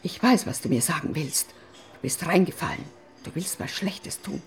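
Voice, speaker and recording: An actress playing a bitter, ashamed middle-aged mother in a radio play, scornful, with a husky, cracked voice. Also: lowish voice, expressive, normal speed, soft background music. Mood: agitated, condemning.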